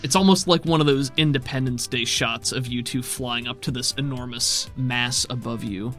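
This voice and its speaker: A man's voice: mid-range voice, fairly even intonation, normal speed, fairly bright tone, faint music behind. A man working as game master, improvising descriptive, conversational narration for a tabletop game.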